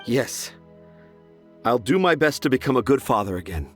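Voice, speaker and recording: Caring father for anime game played by a man: humble, gentle, remorseful, very expressive pitch. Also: moderate pace, faint music behind, natural voice. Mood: humbled, hopeful.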